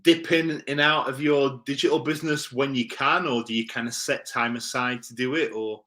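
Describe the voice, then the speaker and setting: A man's voice: medium pitch, fairly even intonation, moderate pace, bright, forceful tone. A man hosting a live-streamed interview, chatty and upbeat, who laughs often. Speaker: podcast host. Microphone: video call.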